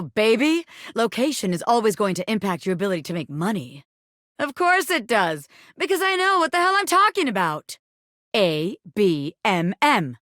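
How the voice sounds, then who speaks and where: A woman's voice: medium pitch, a bit bright, no room sound, studio quality. A woman voicing a cocky, self-important bobby pin who fancies itself a crime boss in a video game, swaggering, slangy and boastful. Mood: brash, excited. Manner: swaggering, slangy, boastful, peppering talk with pet phrases. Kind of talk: video game character voice acting.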